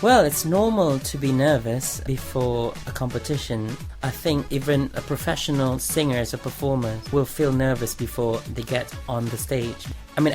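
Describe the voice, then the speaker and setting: A man in his forties from Malaysia, a native Malay speaker, reading prepared interview answers in a careful, slightly formal way. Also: mid-range voice. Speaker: interview guest, singer. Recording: music playing underneath. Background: music.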